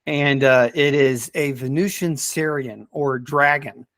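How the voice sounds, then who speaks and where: A man in a casual podcast discussion, speaking in a hesitant, halting way and often repeating words as he reviews stories. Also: mid-range voice, fairly bright tone, no echo, studio quality.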